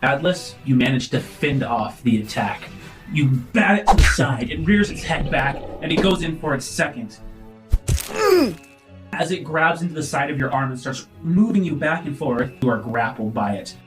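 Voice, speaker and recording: Guy game master telling a tense scene, dramatic, stops and starts, music clearly behind him. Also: medium pitch, lively intonation, normal speed. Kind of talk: improvised dramatic tabletop narration.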